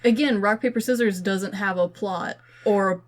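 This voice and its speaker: A woman's voice: mid-range voice, normal speed. A woman co-hosting a podcast, chatting casually about films in an informal, rambling way peppered with "like". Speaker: podcast co-host.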